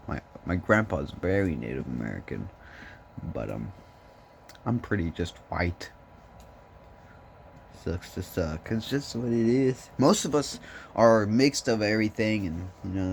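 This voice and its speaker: A young man with a voice hoarse from smoking, rambling and joking in a laid-back way into his phone. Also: deep voice, slight background noise. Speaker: vlogger. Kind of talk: rambling casual vlog chat.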